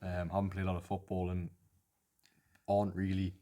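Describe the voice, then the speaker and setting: Hoarse, flu-stricken Geordie man, very deep. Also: monotone, mellow. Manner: halting, hesitant, restarts often. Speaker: football podcast co-host. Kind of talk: casual football analysis chat.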